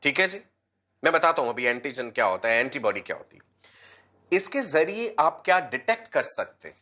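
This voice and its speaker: A man teaching an online class through a mild cold, his voice slightly hoarse but still lively, swinging up and down in pitch. He is patient and affectionate with his students and goes over key terms more than once. His pitch sits at an ordinary middle height. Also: normal speed.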